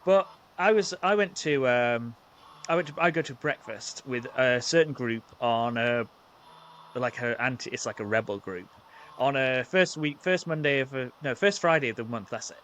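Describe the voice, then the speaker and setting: A man's voice: mid-range voice, moderate pace, fairly bright tone, a little hiss or hum. A man hosting a podcast, chatting casually in a rambling, self-deprecating way with plenty of fillers. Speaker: podcast host. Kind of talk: casual chat.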